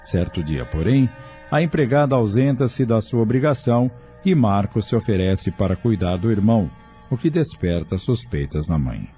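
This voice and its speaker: A man's voice: on the low side, sing-song, normal speed, warm tone. A man with a Brazilian accent giving a scripted, dramatic story narration. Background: music.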